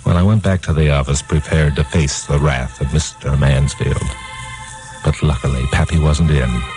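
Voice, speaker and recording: A man's voice: rumbling low, fairly even intonation, normal speed, low-quality recording. An actor playing a hardboiled detective hero who narrates his own case with wry, slangy self-mockery in a 1950s radio crime drama. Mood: rueful narration. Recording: 1950s radio recording with music.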